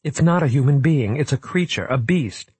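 A man's voice: medium pitch, expressive, normal speed, a little mellow, husky, dry recording, voice pushed up. A man voicing an earnest, determined young female scientist in an audiobook, explaining things seriously. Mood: urgent explaining. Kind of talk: audiobook character acting.

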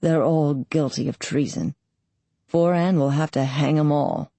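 Woman reading a weary, wry bard, low-pitched. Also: unhurried, mellow, no echo. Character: wry, wounded male bard, weary and playful. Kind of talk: audiobook character voice.

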